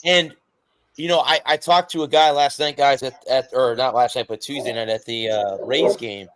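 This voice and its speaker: Male co-host, casual sports talk, rambling explanations, mid pitch, dry close sound. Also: steady tone, moderate pace, a bit bright, no echo. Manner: rambling, self-correcting explanations.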